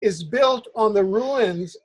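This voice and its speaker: An older man hosting a conversational interview, speaking in a halting, deliberate way. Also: high voice, crowd noise. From Cuba. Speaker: interview host.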